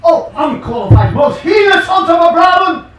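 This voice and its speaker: An actor playing a pompous, tyrannical demon king father in a theatrical stage reading, given to explosive shouting. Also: extremely high pitch, very animated, normal speed, music in the background. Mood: enraged. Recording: very echoey hall, far from mic.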